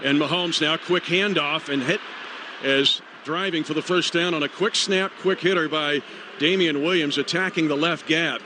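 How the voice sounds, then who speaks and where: A high-voiced American man works as a radio play-by-play announcer for football. His phrasing is clipped and urgent, delivered over clear stadium noise on a live broadcast. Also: fairly even intonation, normal speed.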